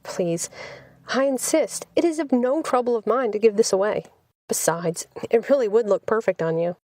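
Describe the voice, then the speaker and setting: A woman's voice: on the high side, moderate pace, warm tone, dry recording, natural voice. Audiobook role: courteous male stranger read by a woman, formal, insistent salesman, dry recording. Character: smooth-talking, courteous salesman, a handsome stranger.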